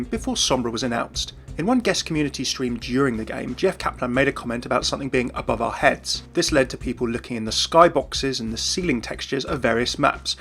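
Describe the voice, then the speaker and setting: A man's voice: mid-range voice, a bit bright. A British man hosting a gaming video, giving an enthusiastic scripted explanation. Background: faint music.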